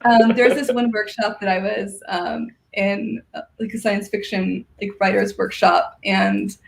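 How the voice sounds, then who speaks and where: Creaky-voiced woman in casual chat. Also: mid-range voice, fairly even intonation, a little slow. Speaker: podcast guest, science fiction writer.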